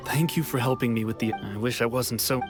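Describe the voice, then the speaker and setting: A man's voice: mid-range voice, steady tone, a little fast, close to an everyday voice. Guy voicing worn down polite villager in a game, mid voice, unsure and resigned, music in back. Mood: resigned, grateful. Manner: subdued, hesitant, resigned. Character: downcast, uncertain man weighing escape, politely grateful. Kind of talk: video game dialogue.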